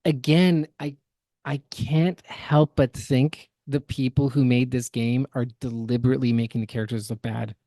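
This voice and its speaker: Man, podcast host, casual commentary, opinionated and critical, mid-pitched, close dry sound. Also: steady tone, normal speed, dark tone, dry recording, faint music behind.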